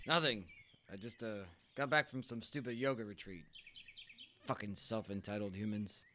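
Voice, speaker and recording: Man playing an irate, bright-voiced Bigfoot dad. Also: fairly low pitch, normal speed, slight background noise. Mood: grumpy. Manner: gruff grumbling that erupts into indignant ranting. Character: irate, foul-mouthed Bigfoot father who despises humans. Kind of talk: comedic radio play acting.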